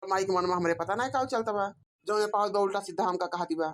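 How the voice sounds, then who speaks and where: A woman's voice: medium pitch, quick pace, lightly acted. Woman acting a put-upon, pleading village husband. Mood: aggrieved. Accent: Awadhi. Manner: complaining, self-justifying. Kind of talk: cartoon comedy acting.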